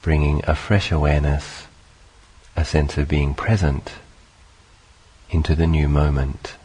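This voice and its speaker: A man leading a guided meditation, speaking calmly in short, unhurried phrases with long pauses between them. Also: extremely low pitch, husky, low-quality recording. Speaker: meditation teacher.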